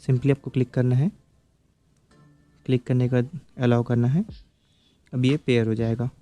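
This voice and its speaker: A man's voice: medium pitch, level tone, moderate pace, dark tone, no echo, soft background music. A man giving a casual, step-by-step product review, explaining patiently and checking in with the viewer as he goes.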